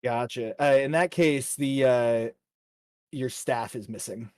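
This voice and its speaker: A man narrating a tabletop game as game master in a casual, measured, hesitant way. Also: mid-range voice, darkish tone, dry recording, clean studio sound.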